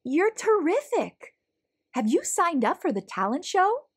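A woman's voice: extremely high pitch, very animated, measured pace, no room sound, clean studio sound, voice pushed up, full character voice. A woman voicing an emphatic, insistent school principal in a children's storybook. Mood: enthusiastic. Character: stern-sounding, then enthusiastic, insistent woman school principal.

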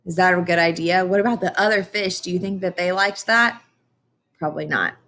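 A woman's voice: fairly low pitch, a little fast, a bit bright. A young woman teacher doing a read-aloud lesson, explaining and asking questions as if to young children. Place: classroom, indoors.

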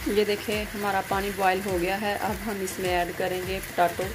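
A woman's voice: medium pitch, flat delivery, moderate pace, noisy background. A woman gives a plain, instructional step-by-step recipe voice-over as a home cooking host. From Pakistan. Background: music behind the voice.

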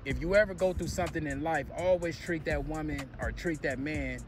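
A man's voice: on the high side, a little fast, music in the background. An American man giving casual, opinionated gossip commentary in a conversational way.

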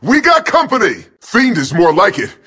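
A brash robot fighter pilot in a video game, pitched very high for a man and swooping widely. He is daring and cocky, with a bright, forceful shout. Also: huge pitch swings, moderate pace, breathy edge, heavily acted. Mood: cocky, combative. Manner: shouted taunts and combat calls. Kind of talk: video game battle voice clips.

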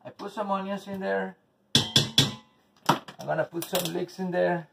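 A slow-talking, gravelly man with a Spanish accent cooks in his home kitchen, rambling and cursing bitterly as he goes. The camera sits across the counter, so he sounds a little distant, with a faint hiss behind him. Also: high voice, fairly even intonation, over loud music. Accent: Spanish-speaking, English as second language. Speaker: home cook vlogger. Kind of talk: casual cooking video with ranting. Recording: voice from off-mic with faint noise.

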